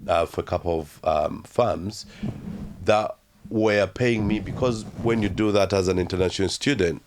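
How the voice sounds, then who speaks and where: A young Ugandan-accented man with a notably low, deep voice speaks as an interview guest. He tells his story slowly and reflectively, pausing often and leaning on fillers as he searches for words. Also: darkish tone, a little hiss or hum. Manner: halting, reflective, heavy with fillers.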